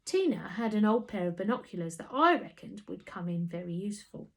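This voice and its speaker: A woman reading a children's story aloud in an animated way, with dramatic emphasis. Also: medium pitch, a little fast, gravelly. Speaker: storybook reader. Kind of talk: expressive scripted children's story narration.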